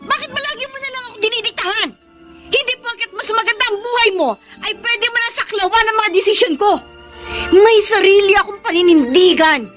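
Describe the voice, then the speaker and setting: An actress playing a stubborn, steadfast younger sister in a Filipino radio drama, with an earnest, emotive delivery. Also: female voice, extremely high pitch, a little fast, over music. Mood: exasperated, defiant.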